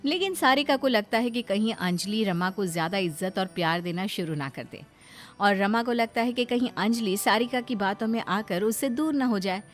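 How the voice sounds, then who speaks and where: A woman reading scripted narration for an animated moral family story. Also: mid-range voice, normal speed, faint music behind.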